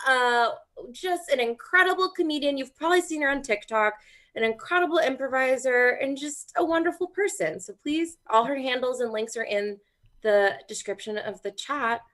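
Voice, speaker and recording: A woman's voice: sits high, moderate pace. A woman hosting a casual comedy livestream chat, exclaiming and making fun of herself as she goes. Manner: animated, exclaiming, self-mocking. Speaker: host of a cooking-show discussion stream.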